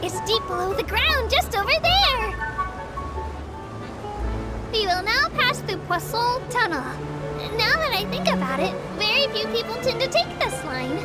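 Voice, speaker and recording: A woman's voice: very high-pitched, sing-song, unhurried, loud music behind the voice, full character voice. A woman voicing a small childlike female creature who works as a polite, eager, bashful tour guide in a video game. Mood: cheerful, informative. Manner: polite, eager, self-deprecating, easily flustered.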